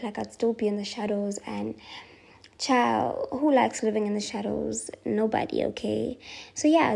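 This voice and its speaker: Soft, gravelly woman musing slowly. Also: mid-range voice. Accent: South African. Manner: soft-spoken, slow and thoughtful with frequent ums. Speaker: podcast host. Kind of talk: reflective solo podcast talk.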